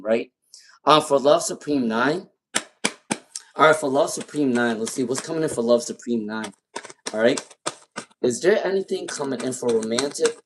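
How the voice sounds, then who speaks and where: A man's voice: medium pitch, background noise. A man with a non-native accent and a speech impairment, giving live tarot readings. He repeats names and questions over and over as he pulls cards. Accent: non-native English. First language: not English. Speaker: tarot reader.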